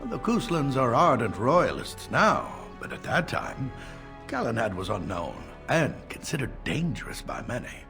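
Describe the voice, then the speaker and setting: An actor playing an elderly, learned castle tutor in a video game, stern and fussy, lecturing theatrically. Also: male voice, mid-range voice, sing-song, unhurried, a bit bright, faint music behind. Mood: pleased, lecturing. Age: older.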